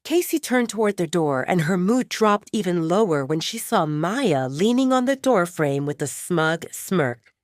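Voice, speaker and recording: A woman's voice: on the low side, animated, unhurried, no room sound, studio quality. A woman narrator telling a story in a dramatic audiobook style.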